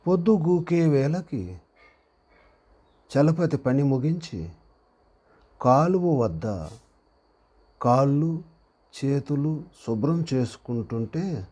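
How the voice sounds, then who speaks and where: Male storyteller for read-aloud moral tales: husky, mellow, unhurried, phrase-by-phrase delivery with pauses. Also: fairly high pitch, animated. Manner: deliberate, pausing after short phrases. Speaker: narrator of a handwriting and moral story channel.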